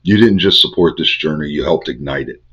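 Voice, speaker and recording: Older guy with a head cold, super deep husky voice, slow chanting cadence, dull lo-fi sound. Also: pushed and bright. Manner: measured, incantatory delivery in short cadenced phrases. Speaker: Bible study host. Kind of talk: spiritual teaching monologue. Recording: dull lo-fi recording.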